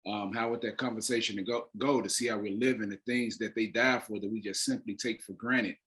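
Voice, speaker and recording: A man's voice: on the low side, steady tone, a little fast, fairly bright tone. Earnest man explaining himself as interview guest. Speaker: interview guest, playwright and actor.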